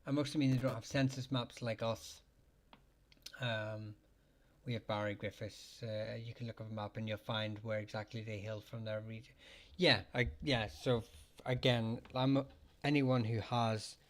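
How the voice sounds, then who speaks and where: A man hosting a live chat, talking haltingly with many fillers and restarts, his voice getting hoarse as he keeps clearing his throat. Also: fairly low pitch, little pitch movement. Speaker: livestream host answering surname questions. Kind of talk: casual live-chat talk.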